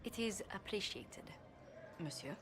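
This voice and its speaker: An actress playing a refined, earnest ambassador in a video game, speaking politely and formally. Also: female voice, medium pitch, expressive, unhurried. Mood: restrained politeness. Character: refined, earnest, diplomatic young female ambassador. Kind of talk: video game cutscene acting. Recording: long hall reverb, faint noise.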